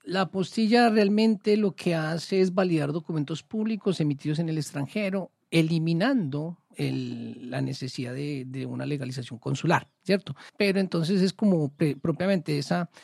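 A man, a legal expert guest explaining procedures in halting speech with many restarts and tag questions. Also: fairly high pitch, creaky, a little mellow, dry recording, studio quality. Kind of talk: explanatory radio interview.

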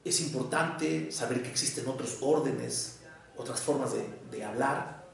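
A man with a Mexico City accent lectures in a slow, deliberate cadence, breaking his thoughts into short phrases. His voice has a gravelly edge and sits at an everyday pitch. The recording is heavily echoey, with the mic well away from him. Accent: Mexican Spanish, Mexico City. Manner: measured, deliberate, with short pauses between phrases. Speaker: psychoanalyst giving a lecture. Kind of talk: academic lecture to an audience. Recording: echoey room, voice picked up from far off.

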